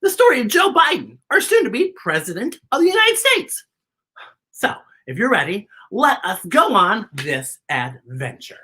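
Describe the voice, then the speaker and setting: A woman's voice: on the high side, wildly swooping pitch, measured pace, bright, forceful tone. A woman hosting a children's story time, reading a picture book aloud in a playful, theatrical way with chatty asides to the young listeners.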